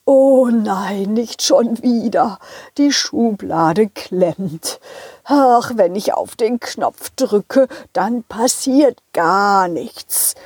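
A woman acts out an old gentleman bookworm from a children's audio play. He speaks very slowly and drags his words, kindly but a bit fretful, in a dry close recording. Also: mid-range voice, expressive, husky, no room sound, full character voice. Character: kindly old male bookworm, slow and bothered by cold.

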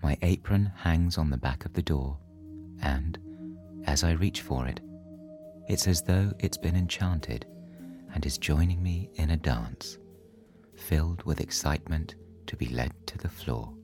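A man narrating a scripted bedtime story in a soothing, measured manner. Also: a bass voice, over music.

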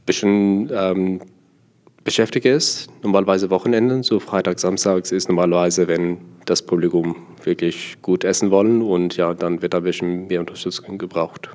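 A man's voice: low-pitched, level tone, moderate pace, a little mellow. A young man from South Africa, a native Afrikaans speaker with an Afrikaans accent, answering interview questions hesitantly as he searches for words in his second language. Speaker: interview guest, part-time sommelier.

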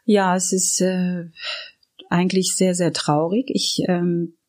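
A woman's voice: lowish voice, level tone, slow pace, a little mellow. A middle-aged woman from Iran reminiscing casually as an interview guest, reflective and often searching for her words.

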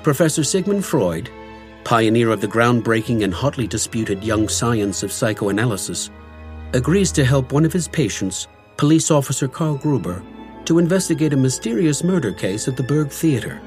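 A man narrates an audio drama from a script in a dramatic announcer's style. His voice sits at a medium pitch, with faint music underneath. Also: big pitch swings, normal speed, a little mellow.

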